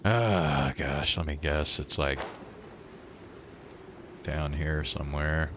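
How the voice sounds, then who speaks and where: A man's voice: very deep voice, slow, noisy background. A man streamer giving casual gameplay commentary, muttering to himself as he plays.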